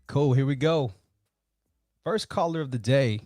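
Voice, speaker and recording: A man's voice: mid-range voice, unhurried, mellow, soft background music. A man hosting a motivational livestream, chatting informally and in a brotherly way as he addresses his viewers. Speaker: livestream host. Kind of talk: casual motivational livestream chat.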